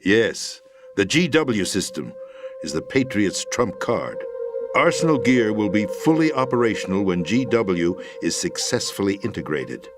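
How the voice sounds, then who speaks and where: Grave middle-aged ex-president in a game cutscene, low commanding voice, solemn n deliberate, music loud behind. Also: male voice, very animated. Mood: grave, urgent. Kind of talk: dramatic video game acting.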